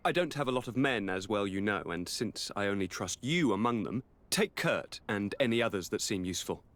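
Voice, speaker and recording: A man playing a commanding young noble ruler in a video game, with a lively, lordly manner. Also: fairly low pitch, brisk. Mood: concerned. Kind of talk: video game cutscene acting.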